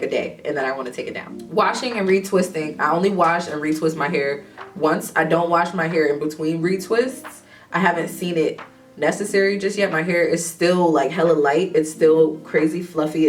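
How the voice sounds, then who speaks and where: Low-voiced young woman with a stuffy cold. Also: recorded from a distance. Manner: chatty, laughs often, trails off with ums. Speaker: hair vlogger. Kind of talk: casual talk to camera.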